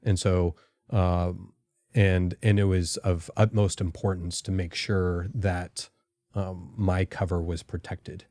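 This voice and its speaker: A middle-aged man, a podcast guest and former intelligence officer, answering in a careful, halting, reflective way. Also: low-pitched, flat delivery, dark tone, dry recording, clean studio sound.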